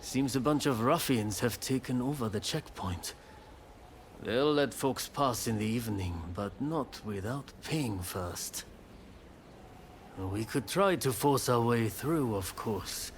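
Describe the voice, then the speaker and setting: Animated, authoritative samurai leader. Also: male voice, mid-range voice, takes it slowly, slight background noise. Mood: calculating. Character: shrewd, assured samurai militia leader. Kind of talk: video game cutscene acting.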